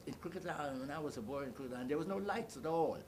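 An older man giving an oral history interview, telling his story haltingly and searching for words. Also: lively intonation. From Bahamas. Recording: clearly noisy, low rumble behind.

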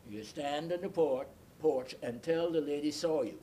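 A man's voice: fairly high pitch, dark tone. Elderly man reminiscing, noisy recording. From Bahamas. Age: 80s or older. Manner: deliberate, halting storyteller, repeats and explains. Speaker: interviewee. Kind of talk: oral history recollection.

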